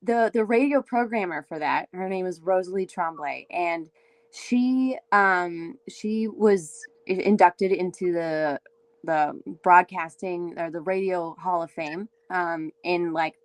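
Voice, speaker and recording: A young woman from Windsor, Ontario with a raspy voice, chatting loosely as a singer-songwriter guest, full of fillers. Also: on the low side, takes it slowly, no room sound. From Windsor, Ontario, Canada. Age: young adult. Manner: chatty, many fillers, laughs easily. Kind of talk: casual interview chat.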